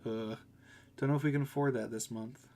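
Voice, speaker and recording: A man's voice: mid-range voice, steady tone, a little fast, darkish tone, natural voice. A man reading as a stressed, snappy cafe owner. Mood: weary. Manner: irritable, blunt. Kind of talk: visual novel dialogue read aloud.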